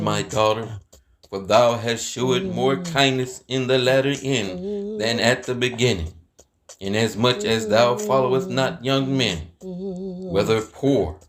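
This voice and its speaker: Man voicing kindly landowner, formal emphatic delivery, slow, reassuring, scripture drama, crowd murmur behind. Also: medium pitch.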